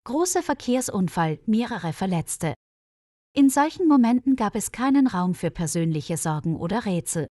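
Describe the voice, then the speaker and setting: A woman reading a scripted story as narrator, in a measured, clearly enunciated way. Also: fairly low pitch, a little mellow, no room sound, studio quality. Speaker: audiobook narrator of a German learners' story.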